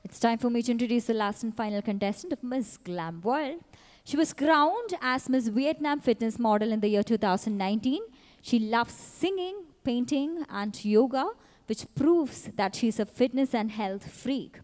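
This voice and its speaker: A woman announcer reading scripted introductions of pageant contestants in a measured, even way. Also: on the high side. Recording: long hall reverb.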